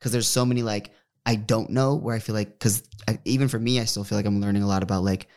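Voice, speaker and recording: A man's voice: fairly low pitch, fairly even intonation, fast talker, a little mellow. A young man, a podcast co-host, telling a personal story in a casual, rambling way with lots of fillers and restarts. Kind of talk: casual chat.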